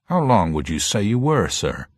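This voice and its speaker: A male reader plays a formal police superintendent in a detective audiobook. He is brisk and politely insistent, with a husky edge and a dry, close sound. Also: lowish voice, very animated, no echo, pitched above the performer's own voice.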